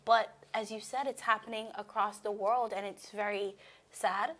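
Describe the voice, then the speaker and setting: A woman's voice: medium pitch, fairly even intonation, normal speed, fairly bright tone. A woman co-hosting a daily news show, agreeing with her colleague and then signing off. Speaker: news co-host. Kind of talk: news co-host discussion and sign-off.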